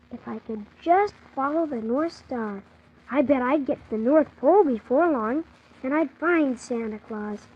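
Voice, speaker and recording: Eager, high-voiced orphan boy. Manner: earnest, wonder-filled, childlike storytelling with exclamations. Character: eager, wide-eyed young orphan boy telling his adventure. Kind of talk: 1940s children's radio serial storytelling. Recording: muffled 1940s radio transcription, faint hiss.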